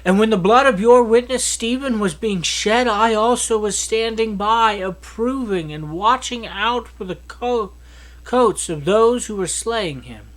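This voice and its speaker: Male Bible reader, notably high voice, expressive scripted narration. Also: unhurried, low-quality recording.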